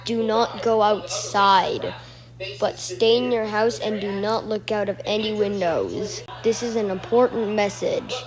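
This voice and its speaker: A woman's voice: mid-range voice, unhurried, over music, poor audio. A woman delivering a homemade mock emergency alert as an announcer, repeating her warnings.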